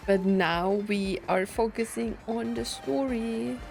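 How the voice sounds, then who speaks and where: A woman's voice: a little high, slow, gravelly, a little mellow. A woman streaming a video game with casual commentary, thinking aloud and reading on-screen text out. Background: video game music and dialogue.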